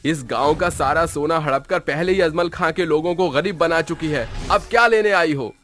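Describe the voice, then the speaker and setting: A man's voice: a little high, normal speed, fairly bright tone, faint music behind, pitched above the performer's own voice, lightly acted. A man voicing an angry, determined villager who fights witches in a horror story. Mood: angry, rallying.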